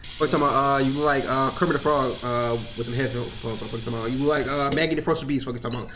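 A man's voice: mid-range voice, fairly even intonation, rapid-fire, background noise. A young man hosting a gameplay video from a headset at home, joking and roasting his friend. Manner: joking, teasing roast banter. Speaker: gaming channel host. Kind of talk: casual gameplay commentary.

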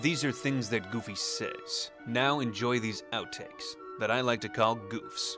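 A man giving a deadpan voice-over outro. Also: mid-range voice, unhurried. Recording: music behind the voice.

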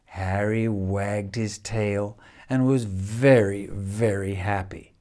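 An older man reads a children's picture book expressively, with measured phrasing and dramatic pauses. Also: lowish voice, steady tone, darkish tone. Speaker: storyteller reading a picture book. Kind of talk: expressive children's storybook reading. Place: indoors, room in a house with stone wall.

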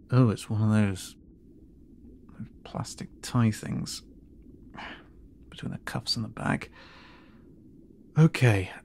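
A man playing a gentle, concerned merfolk rescuer, hesitant and reassuring, in an audio roleplay. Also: mid-range voice. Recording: noisy ambience behind the voice.

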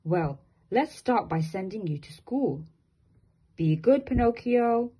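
A woman's voice: medium pitch, expressive, takes it slowly, mellow, husky, low-quality recording. A woman voicing an old male carpenter who is a fond father, in a children's storybook reading. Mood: fatherly. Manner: calling out, fatherly.

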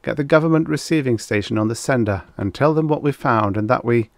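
A man's voice: mid-range voice, brisk, dry recording, clean studio sound, close to an everyday voice. A man voicing a grim, matter-of-fact spaceship captain in an audiobook. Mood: sober, decisive. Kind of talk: audiobook character voice.

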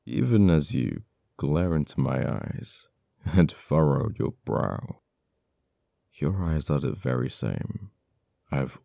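Casting: male actor for cold, uptight official in ASMR roleplay, extremely low gravelly voice, slow and halting, bitter over a lost friend. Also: mellow, dry recording, studio quality, heavily acted. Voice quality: very deep, gravelly. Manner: halting, teasing, dramatic pauses and repeated words.

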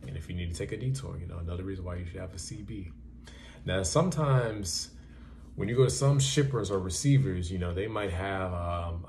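A man's voice: low-pitched, darkish tone, a little hiss or hum. A young man talking casually and bluntly to camera, giving advice in a repetitive, conversational way. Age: young adult. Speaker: trucker giving advice in a vlog. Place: small plain indoor room.

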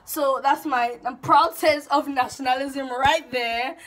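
Bubbly young woman, high bright voice. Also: measured pace, a little hiss or hum. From Ghana. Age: young adult. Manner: bubbly, giggly, animated, gesturing. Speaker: YouTube vlogger. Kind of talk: casual chatty intro vlog. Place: small bedroom, indoors.

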